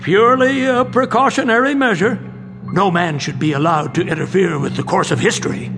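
An actor playing a proud, eccentric inventor professor in a comic radio drama, explaining grandly and deliberately. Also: male voice, high voice, vocal fry, music in the background. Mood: instructive, pompous.

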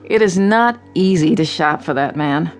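A woman's voice: on the low side, expressive, normal speed, faint music behind, lightly acted. An actress playing a practical, chatty, weary wife in a radio drama, a little dramatic in her delivery.

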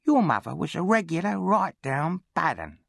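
A pompous, sneering bully of a boy for a storybook reading. The voice is pushed high and loud, swinging widely in pitch as he taunts and orders others about. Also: big pitch swings, normal speed, vocal fry, dry recording, clean studio sound, exaggerated voice. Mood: taunting. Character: bullying, self-important big charity boy, loud and sneering.

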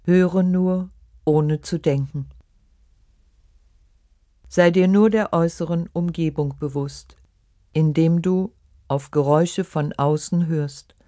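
A meditation guide reading a scripted relaxation in short, measured, instructive phrases. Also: medium pitch, steady tone, mellow, no echo, clean studio sound. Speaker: yoga nidra meditation guide.

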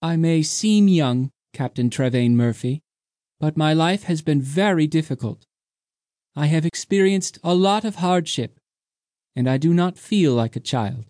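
Male reader doing a higher, gentle, whimsical ageless sea maiden in a storybook reading, dry close sound. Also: animated, unhurried, no room sound. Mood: wistful. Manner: soft, precise, lilting. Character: mysterious ancient sea woman, young-seeming, whimsical.

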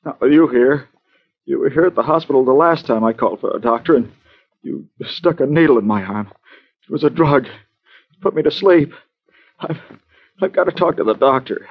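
An American actor playing an anxious, injured man in bed in an old-time radio drama, halting, stammering and urgently pleading. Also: medium pitch, lively intonation, slightly rough. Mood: agitated, pleading. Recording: muffled vintage radio broadcast recording.

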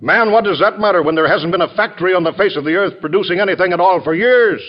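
An actor playing a blustery, hard-pressed American army general in classic radio drama style, exclaiming with emphasis. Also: male voice, sits high, fast talker, lightly acted. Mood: indignant, amazed. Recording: dull vintage radio recording.

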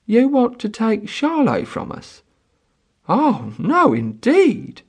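A proud country mother from a storybook, voiced by a man. She is high-pitched and forceful, blunt in her scolding. Her husky voice swoops with indignation. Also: huge pitch swings, slow pace, exaggerated voice. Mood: shocked.

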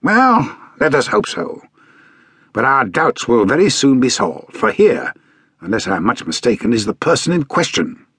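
Guy doing a world-weary british detective, theatrical, swoopy pitch, husky, audiobook style. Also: sing-song, moderate pace, a bit bright, dry recording, clean studio sound. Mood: brisk, courteous. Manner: languid, theatrical, precise diction. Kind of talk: audiobook character acting.

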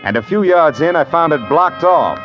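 An American actor playing a steady, wry frontier man in an old radio western, measured and dry, sometimes narrating his own tale. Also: on the high side, lightly acted. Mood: measured narration. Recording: muffled vintage radio recording with music.

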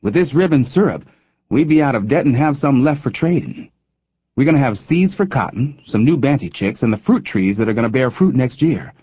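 A man voicing a proud, husky country father. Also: medium pitch, lively intonation, a little fast, dry recording, dull audio, voice pushed up. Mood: hopeful, contented. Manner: folksy, plain-spoken country dialect.

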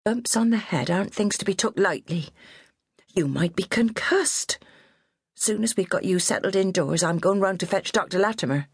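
A woman's voice: mid-range voice, expressive, moderate pace, slightly rough, dry recording, clean studio sound, voice pushed up. A woman voicing a bossy, stubborn old countrywoman with a who scolds bluntly. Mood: firm.

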